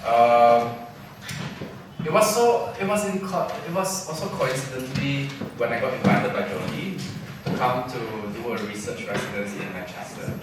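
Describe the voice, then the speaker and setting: A man from Malaysia, a textile artist, speaking at an artist talk in a gallery, thoughtful and halting as he searches for words. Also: mid-range voice, lively intonation. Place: gallery event space. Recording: distant roomy mic with noise.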